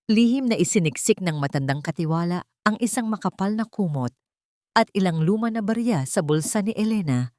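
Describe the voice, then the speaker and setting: A woman's voice: on the low side, a little mellow, no echo, studio quality. A woman narrating a dramatic scripted love story.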